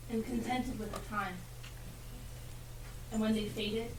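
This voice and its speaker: A woman playing the narrator of a storybook tale, delivering scripted narration in a live stage play. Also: on the high side. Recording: echoey stage, distant mic, clear noise.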